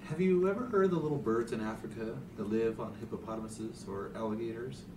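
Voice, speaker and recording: Roomy, noisy recording, man acting a creepy, smooth-talking dentist. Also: on the low side, close to an everyday voice. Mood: reverent. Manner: unctuous, measured persuasion. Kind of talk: hobby audio story reading. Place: hotel room. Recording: roomy hotel room, distant, noisy hum.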